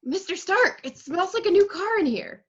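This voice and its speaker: Very high, bright woman as teenage boy hero. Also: normal speed. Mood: excitable. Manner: excitable, earnest. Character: eager, chatty teenage boy superhero. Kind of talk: amateur table read of a film script. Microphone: online call microphone. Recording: dull lo-fi online call audio.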